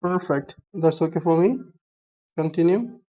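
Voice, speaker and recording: A young man from Pakistan with a Pakistani accent and native Urdu, talking through an app setup in a halting, explanatory way. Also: a little high, dark tone, highs cut off. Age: young adult. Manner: halting, explanatory, starts many phrases with "so". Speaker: app reviewer. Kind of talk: screen-recorded app tutorial.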